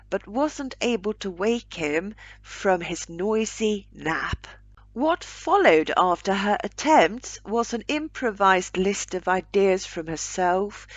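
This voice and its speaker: Unhurried, expressive woman storyteller. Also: medium pitch, no echo. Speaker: storyteller reading own short stories. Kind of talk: scripted storybook narration, read aloud.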